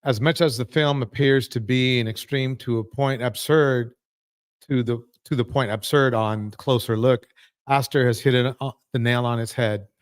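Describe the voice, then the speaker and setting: A man hosting a live panel, moving the talk along and haltingly reading a film introduction. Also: medium pitch, fairly even intonation, clear, resonant tone. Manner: halting, many fillers when reading. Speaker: host.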